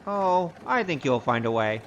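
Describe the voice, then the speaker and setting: A man's voice: mid-range voice, sing-song, measured pace, a bit bright, highs cut off, slight background noise. A male actor playing a roguish, wry storyteller with a grandiose, theatrical manner in a comic audio drama. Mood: sardonic. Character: roguish, boastful storyteller, wry poor adventurer. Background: music.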